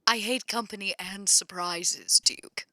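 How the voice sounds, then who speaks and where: A woman playing an exasperated, sarcastic vampire businesswoman in an improvised roleplay, with a halting, dry delivery. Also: mid-range voice, bright, forceful tone, dry recording. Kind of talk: improvised tabletop roleplay, phone conversation.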